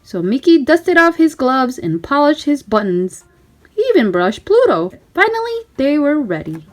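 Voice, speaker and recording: A woman reading a children's story aloud in an animated, emphatic, child-directed way. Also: high voice, moderate pace, faint music behind. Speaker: storybook reader. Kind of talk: expressive children's read-aloud narration.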